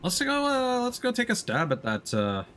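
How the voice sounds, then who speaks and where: A man's voice: extremely high pitch, wildly swooping pitch, normal speed. A man giving casual live gameplay commentary as a streamer, with wry, playful asides as he narrates what he is doing. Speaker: video game streamer. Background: music behind the voice.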